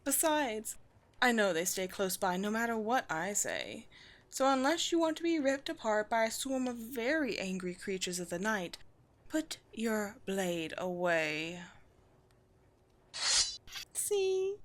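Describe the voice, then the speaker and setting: A woman voicing a proud, wry vampire queen with a formal, measured, teasing manner in a scripted audio roleplay. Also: a little high, very animated. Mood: wry, commanding. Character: poised vampire queen, proud, wry, secretly gentle colony leader.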